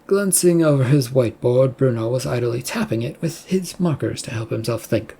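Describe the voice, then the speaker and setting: An audiobook narrator with a mellow, relaxed tone and a husky edge. The pitch is middling as the scripted fiction is read out. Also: moderate pace. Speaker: story narrator.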